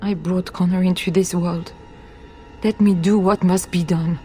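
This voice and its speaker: A woman playing a desperate, grieving noblewoman and mother in a video game, pleading in a halting, emotional way. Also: high voice, lively intonation, faint music behind. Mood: resigned grief. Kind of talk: dramatic video game voice acting.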